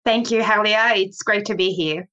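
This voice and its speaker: A woman with a Brazilian accent, a native Portuguese speaker, answering interview questions as a podcast guest in a reflective, measured way. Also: mid-range voice, bright, forceful tone. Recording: slightly dull, lo-fi audio.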